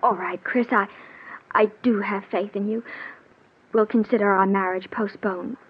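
An actress playing a devoted, anxious young fiancée in a 1940s radio drama, tender and melodramatic. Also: female voice, medium pitch, fairly even intonation, unhurried, slightly rough. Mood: tender concern. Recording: dull, lo-fi old radio recording.

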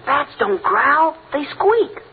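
An actor playing a curious, literal-minded teenage son in 1940s American radio comedy. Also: male voice, very high-pitched, big pitch swings, slow pace, narrow, tinny audio, heavily acted. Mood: matter-of-fact. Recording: thin, noisy vintage radio recording.

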